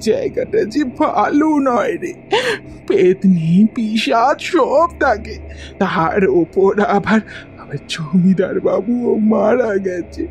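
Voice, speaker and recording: Man acting anxious, high-pitched old grandmother. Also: very animated, darkish tone, soft background music, heavily acted. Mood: tearful pleading. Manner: tearful, slow, repeating her pleas. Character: anxious old village grandmother, fearful for her son. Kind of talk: cartoon horror story voice acting.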